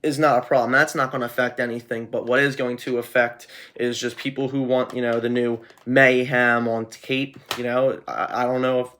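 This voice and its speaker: A man's voice: mid-range voice, little pitch movement, normal speed, hardly any pauses, a bit bright. Rambling man, casual unscripted commentary. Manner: rambling, conversational. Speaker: music video host.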